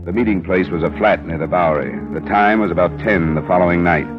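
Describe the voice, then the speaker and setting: An actor playing a cool, hard-boiled investigator who narrates his case in a clipped, deadpan 1950s radio drama style. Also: sits low, fast, music in the background, natural voice. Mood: terse, matter-of-fact. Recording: dull vintage radio recording.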